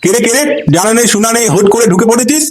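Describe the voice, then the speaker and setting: A man's voice: very high voice, very fast, roomy sound, over a noisy crowd. A man playing a brash, foul-mouthed labour boss in a comedy cartoon, cocky and dismissive. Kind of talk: exaggerated comedy cartoon acting.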